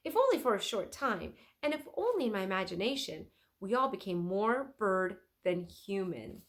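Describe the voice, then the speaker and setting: Lady doing old storybook inventor guy, dreamy n excitable, really animated, lots of emphasis. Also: medium pitch, moderate pace. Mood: earnest, dreamy. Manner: emphatic, exclaiming. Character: excitable older male inventor and artist, dramatic, dreamy. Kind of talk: children's storybook read-aloud.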